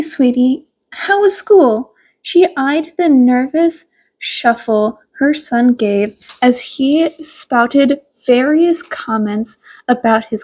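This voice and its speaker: A woman's voice: high voice, darkish tone. A woman reading a scripted story aloud as an amateur audiobook narrator.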